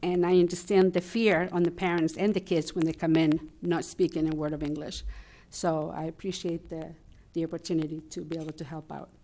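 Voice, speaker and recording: A woman's voice: low voice, flat delivery, fairly quick, hardly any pauses, warm tone, a little hiss or hum. Chilean woman. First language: Spanish. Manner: candid, hesitant with fillers. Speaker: family liaison and interpreter. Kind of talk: personal story at a meeting.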